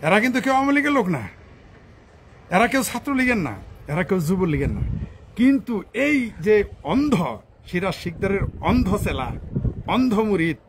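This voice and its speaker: A man with a high voice and a Bangladeshi Bengali accent rants about his views as a commentator. He is combative and scornful, with coarse language and pointed rhetorical questions. There is noticeable background noise on the recording. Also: big pitch swings, moderate pace, pauses often. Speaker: political commentator. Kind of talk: informal political rant to camera.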